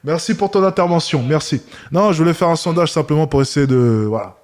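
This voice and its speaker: A man's voice: a little high, fairly quick, darkish tone. A man hosting a live stream, reacting with hesitant, wry commentary full of restarts.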